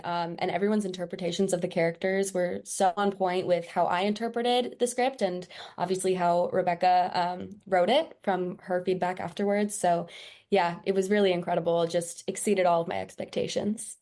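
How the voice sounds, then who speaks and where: Enthusiastic woman, slightly low voice. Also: fairly even intonation, brisk, a little mellow. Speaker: director, interview guest. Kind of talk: casual interview answers.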